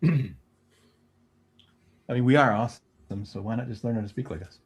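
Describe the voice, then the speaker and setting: A man on a casual live-stream chat, cracking dry, deadpan jokes. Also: medium pitch, normal speed, husky.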